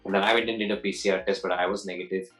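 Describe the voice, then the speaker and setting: A man's voice: sits low, little pitch movement, racing, a bit bright, faint music behind. A man in his forties, an actor answering interview questions in a loose, rambling way, often restarting and repeating words, with easy laughs. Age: 40s.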